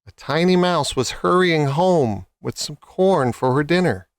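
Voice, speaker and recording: A man's voice: fairly high pitch, animated, measured pace, clear, resonant tone, dry recording, studio quality. A man reading scripted children's stories as a storyteller.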